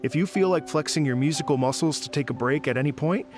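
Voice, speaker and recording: A man's voice: fairly high pitch, fast talker, music in the background, natural voice. An actor playing a polite, earnest male festival musician in a video game. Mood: grateful. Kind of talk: video game cutscene acting.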